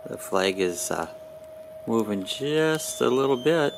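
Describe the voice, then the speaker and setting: An older man chatting casually while he films outdoors at a flying field, relaxed and rambling with lots of "uh" pauses, picked up by the camera mic. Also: mid-range voice, gravelly, background noise. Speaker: vlogger filming at a model airfield. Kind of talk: casual narration while filming.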